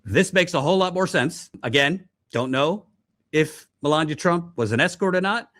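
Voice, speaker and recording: A man hosting a news commentary show, speaking deliberately and repeating points for emphasis. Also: a little high, animated, fairly bright tone, no echo, studio quality. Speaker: host, commentator. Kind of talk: news commentary to camera, reading out quotes.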